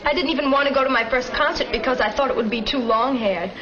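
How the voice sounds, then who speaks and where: A woman's voice: high voice, fast, lightly acted. A woman playing an enthusiastic teenage schoolgirl in a 1950s educational film. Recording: dull vintage soundtrack with crowd chatter.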